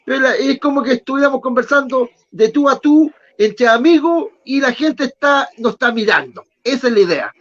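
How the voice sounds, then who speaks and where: A man hosting a casual interview, chatty and quick with short acknowledgments. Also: very high voice, animated, fairly bright tone. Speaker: radio show host. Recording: dull lo-fi sound.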